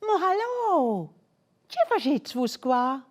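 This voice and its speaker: A woman voicing a small, lively female butterfly in a children's storybook, with exclaiming speech. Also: high voice, very slow, pitched above the performer's own voice, heavily acted. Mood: bright surprise.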